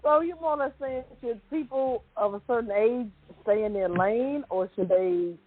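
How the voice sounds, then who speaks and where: A woman's voice: on the high side, normal speed, slightly rough. A woman panelist giving opinions in casual, loosely phrased talk show chat. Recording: muffled, band-limited audio.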